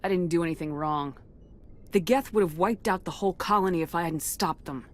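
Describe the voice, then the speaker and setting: Low-pitched, blunt woman commander played by an actress. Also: a little fast, close to an everyday voice. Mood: defiant, angry. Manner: blunt, assertive, clipped. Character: tough, blunt female military commander in space. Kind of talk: video game dialogue acting.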